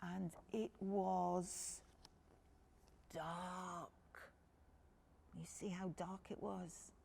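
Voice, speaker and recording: Creaky-voiced woman reading a children's story. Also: on the low side, drawn-out words, slight background noise. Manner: animated, addressing children directly. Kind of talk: expressive picture-book reading for young children.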